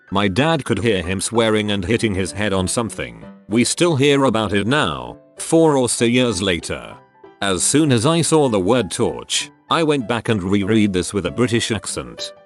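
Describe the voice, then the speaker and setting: A man narrating a series of short online stories in a steady, scripted reading style. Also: lowish voice. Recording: faint music behind the voice.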